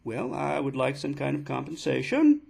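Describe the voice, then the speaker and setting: A man playing an indignant father making an absurd complaint on a prank call, halting and repeating himself insistently. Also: medium pitch, sing-song, gravelly, darkish tone, breathy edge, full character voice. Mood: solemn, insistent. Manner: halting, repetitive, insistent, addressing listener as ma'am. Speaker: prank caller.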